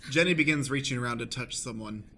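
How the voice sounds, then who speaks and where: A man's voice: mid-range voice, fast talker, bright, forceful tone. A man narrating improvised stage directions as the stage manager of a radio play.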